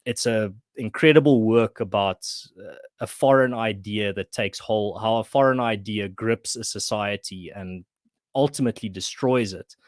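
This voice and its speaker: A man's voice: lowish voice, normal speed, no room sound, studio quality. A man from South Africa whose first language is Afrikaans, talking as a podcast guest and writer in a thoughtful way with many restarts. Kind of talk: casual conversation.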